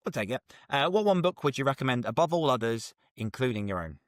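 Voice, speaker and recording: A man's voice: mid-range voice, big pitch swings, fast, dry recording, clean studio sound. A man hosting a podcast, asking questions in a casual, bantering interview style. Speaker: podcast host.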